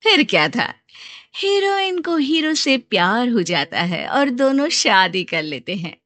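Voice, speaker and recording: A woman's voice: a little high, sing-song, a little slow, a bit bright, no room sound. A woman narrating a scripted moral story as storyteller.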